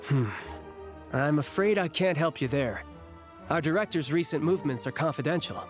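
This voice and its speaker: A man's voice: on the high side, moderate pace, faint music behind, natural voice. An actor playing a breezy, friendly young male officer in a video game, easygoing, reassuring and a little teasing. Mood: casual, helpful.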